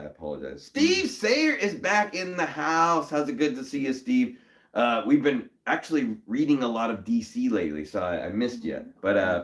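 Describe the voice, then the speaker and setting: Hoarse upbeat man hosting a live stream. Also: medium pitch. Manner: upbeat, greets viewers by name. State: a little hoarse from a cold. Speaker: host. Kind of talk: casual live-stream chat.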